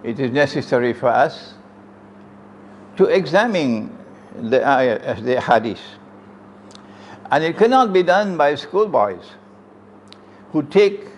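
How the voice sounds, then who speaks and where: Emphatic older Trinidadian man lecturing. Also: a little high. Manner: emphatic, rhetorical questions, sweeping hand gestures. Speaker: Islamic lecturer. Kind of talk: lecture to a seated audience. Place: room with green chairs, indoors. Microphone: stand mic close in front.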